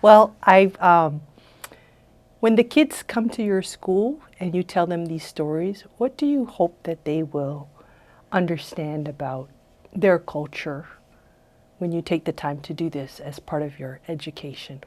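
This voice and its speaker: A woman's voice: on the low side, a little slow, darkish tone. A woman interviewing guests as host, in a conversational style.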